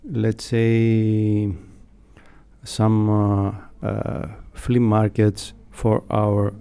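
Middle aged guy w greek accent, lowish relaxed voice, halting interview answers. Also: creaky, clean tone. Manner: halting, hesitant. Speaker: radio station staff member, interviewee. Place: small foam-padded radio studio. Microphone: close studio mic on boom arm, headphones.

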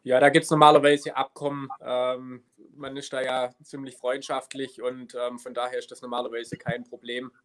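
A man's voice: medium pitch, flat delivery, moderate pace, hardly any pauses, a bit bright. A man in his thirties talking casually on a live video call as a helicopter rescue crew member. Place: office at an air rescue station.

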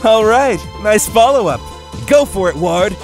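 An actor playing a goofy, cheerful adventurer in a video game fan dub, playful and theatrical. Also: male voice, high-pitched, animated, a little slow, faint music behind. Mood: excited. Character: goofy, cheerful man fighting dragons, game hero.

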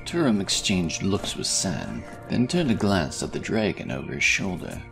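A man's voice: deep voice, huge pitch swings, moderate pace, creaky, over music. A man reading scripted fantasy narration as the storyteller.

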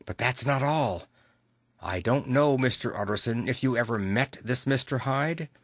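A man's voice: medium pitch, normal speed, no room sound, studio quality, lightly acted. A man voicing an anxious, superstitious Victorian butler in an audiobook, hesitant and respectful. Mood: uneasy, hesitant. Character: anxious, deferential Victorian male butler, plain-spoken and superstitious.

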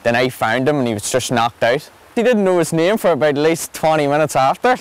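A man's voice: a little high, expressive, brisk, smooth. A young man with a Northern Irish accent, earnestly recounting events in an outdoor documentary interview. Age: young adult. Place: outdoors on a footbridge.

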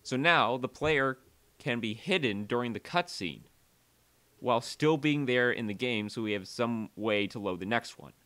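A man presenting a casual software tutorial in a conversational voice-over, with uneven energy and weight on key words. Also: mid-range voice, lively intonation, moderate pace.

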